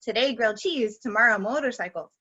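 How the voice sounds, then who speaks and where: A woman's voice: a little high, a little fast, husky, highs cut off. A woman voicing a scolding old grandpa. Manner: loud, emphatic, chiding. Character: stern older male grandpa, scolding.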